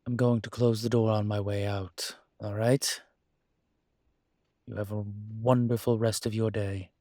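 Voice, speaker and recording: Man voicing male incubus demon, low-pitched, slow-paced, wry, sly, worldly, audio roleplay drama. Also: little pitch movement, mellow, dry recording, clean studio sound. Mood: firm, wry. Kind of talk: dramatic character roleplay acting.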